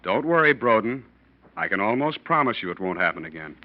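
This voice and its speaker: An actor playing a nervous, guilty, evasive husband in a 1940s radio drama, stammering and jumpy. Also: male voice, lowish voice, very animated, brisk. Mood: uneasy. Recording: dull old radio recording.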